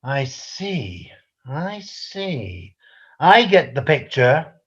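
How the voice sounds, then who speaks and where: Sarcastic, exasperated customer for radio sketch, played by a man. Measured, clipped, muffled recording. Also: mid-range voice, very animated, fairly bright tone, exaggerated voice. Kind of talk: British radio comedy sketch acting. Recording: dull lo-fi recording.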